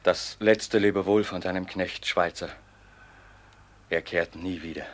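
An actor playing a gruff, loyal robber henchman in a classical radio play. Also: low-pitched, fairly even intonation, a little slow. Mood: grave loyalty.

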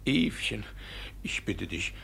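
An actor playing a sly, flustered middle-aged village judge, blustering and wheedling, in a 1950s German radio play. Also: male voice, lowish voice, very animated, takes it slowly, creaky, bright, forceful tone, slightly rough, heavily acted. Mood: pleading, urgent. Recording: noisy early 1950s radio recording.

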